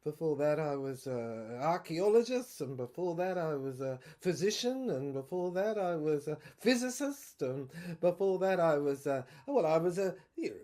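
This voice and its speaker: A woman's voice: low-pitched, sing-song, moderate pace, dark tone. A woman playing a genteel, boastful male ex-barrister in an audio drama, who rambles deferentially and keeps correcting himself. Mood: hesitant, boastful. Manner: hesitant, digressive, self-correcting. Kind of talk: serial audio drama acting.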